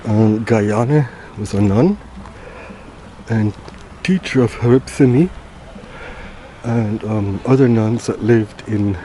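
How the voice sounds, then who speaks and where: Slow, hesitant German man. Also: on the low side, warm tone, slight background noise. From Germany (Bamberg, Franconia). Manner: hesitant, many ums and pauses. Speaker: travel vlogger. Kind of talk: casual guided commentary. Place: inside a church.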